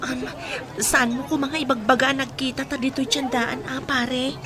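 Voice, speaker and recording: A woman's voice: high-pitched, little pitch movement, moderate pace, a crowd in the background, natural voice. A Filipino woman playing a distressed young wife and mother in a radio drama, speaking in a plaintive, pleading way. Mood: uneasy. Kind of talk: Philippine radio drama acting.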